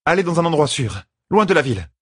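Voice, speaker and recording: A man's voice: on the high side, sing-song, fast talker, slightly rough, no room sound, studio quality, pitched above the performer's own voice. A man voicing a determined young woman leader in an audiobook, urgent and authoritative. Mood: urgent, commanding.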